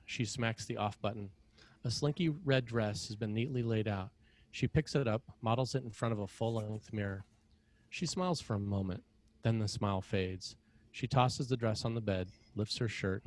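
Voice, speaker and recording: A man narrating scripted screenplay directions in a measured, matter-of-fact way with short clipped phrases. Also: on the low side, darkish tone.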